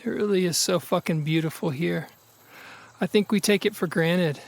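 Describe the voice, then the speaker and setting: A man's voice: high-pitched, normal speed, vocal fry. A young man acting in an audio drama as a wistful eldest brother. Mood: wistful, friendly.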